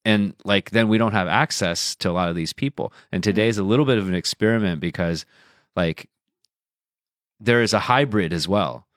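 A man's voice: lowish voice, moderate pace, a bit bright, no echo, studio quality. A man co-hosting a podcast in casual chat, talking in a rambling way full of "like" and slipping into Mandarin. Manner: rambling, filler-heavy, switches into Mandarin. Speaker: podcast co-host.

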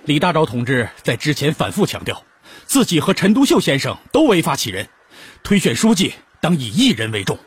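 A man acting in a Chinese historical radio drama as an earnest, husky-voiced delegate at a secret revolutionary meeting. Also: a little high, wildly swooping pitch, fairly quick, heavily acted. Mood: earnest, cautious.

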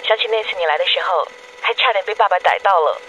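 A woman's voice: medium pitch, quick pace, fairly bright tone, close to an everyday voice. A woman playing a devoted, wistful young girlfriend leaving affectionate, confiding voicemails in a radio drama. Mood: wistful, longing. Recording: dull noisy answering-machine message.

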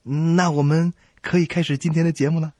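A man's voice: fairly high pitch, level tone, fairly quick, mellow, a little hiss or hum. A man co-hosting a comedy radio show, delivering scripted comic banter. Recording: music bed behind the voice. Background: music.

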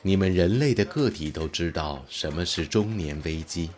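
A man playing an ancient, benevolent cosmic being in an audio drama, speaking slowly and solemnly with a booming, sonorous voice. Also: expressive, a little mellow. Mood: grave, regretful.

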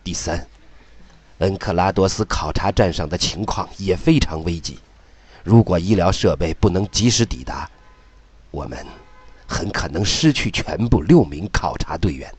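Rescue commander played by a man: deep, husky, grave, formal and orderly, radio drama. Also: lively intonation, normal speed, a little mellow, no echo. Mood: grave, measured. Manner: formal, methodical briefing.

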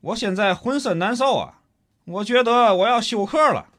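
A man's voice: high-pitched, moderate pace, fairly bright tone. A man acting a stranded commuter in a comic radio drama, whiny, pushy and insistent, with a Tianjin accent. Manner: complaining, insistent, colloquial.

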